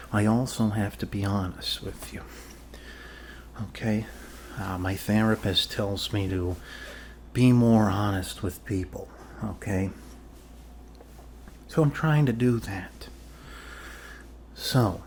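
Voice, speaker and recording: Slow, low-voiced man doing deadpan ASMR doctor role-play. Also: slight background noise. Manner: halting, deliberate, deadpan delivery. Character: blunt, casually shady male family doctor reassuring a patient. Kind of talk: ASMR medical role-play, improvised personal-attention talk.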